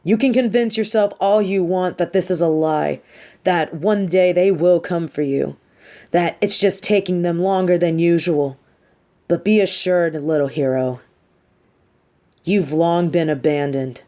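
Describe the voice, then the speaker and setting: A woman playing a coolly menacing, manipulative but sympathetic supervillain in a scripted audio roleplay, speaking deliberately with theatrical condescension. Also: on the low side, dark tone, natural voice. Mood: cold persuasion.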